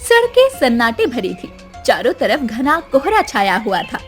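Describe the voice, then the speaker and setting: A woman's voice: on the high side, huge pitch swings, a little slow. A woman narrating a scripted moral tale for a cartoon in a dramatic storytelling style. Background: clear music.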